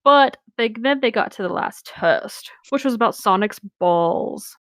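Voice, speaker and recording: A woman's voice: a little high, animated, a little slow, no echo. Woman reading aloud, dry close sound. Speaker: co-host reading fan fiction aloud. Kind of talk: casual comedic group read-along.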